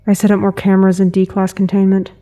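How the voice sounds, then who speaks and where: An actress playing a stiff, guarded security officer in an audio drama, speaking in clipped, deadpan phrases. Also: female voice, medium pitch, fast, close to an everyday voice. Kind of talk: naturalistic audio drama acting.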